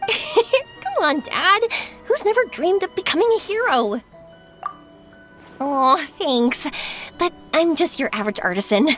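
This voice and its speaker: A woman's voice: high-pitched, sing-song, slow pace, fairly bright tone, heavily acted. A woman voicing an exuberant, chatty young artisan woman in a video game. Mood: bubbly, excited. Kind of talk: English video game voice acting. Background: faint game music.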